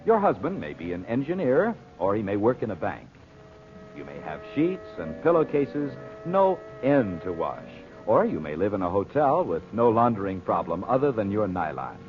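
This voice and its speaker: A man announces in polished old-time radio style, his pitch swooping theatrically as he sells. The recording is tinny and narrow, with music behind him. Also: big pitch swings, moderate pace.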